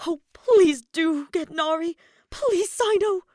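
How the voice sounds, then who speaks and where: A woman's voice: very high-pitched, slow pace, warm tone, dry recording, studio quality, pitched above the performer's own voice. A woman voicing a volatile, easily heartbroken young male queen in an emotional storybook reading. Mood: excited pleading. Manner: emotional, wailing outbursts.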